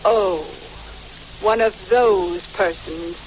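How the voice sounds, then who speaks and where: An actress playing a haughty, suspicious middle-aged wife in a 1940s radio drama, speaking in a stiff, imperious way. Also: female voice, medium pitch, drawn-out words. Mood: hostile, warning. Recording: muffled old radio recording, faint noise.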